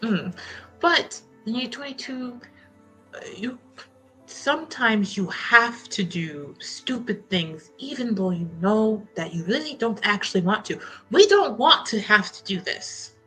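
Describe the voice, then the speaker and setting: A woman playing a tabletop roleplaying game, talking in an informal, halting but emphatic way as she improvises in character. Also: mid-range voice, expressive, bright, forceful tone, husky, soft background music, low-quality recording.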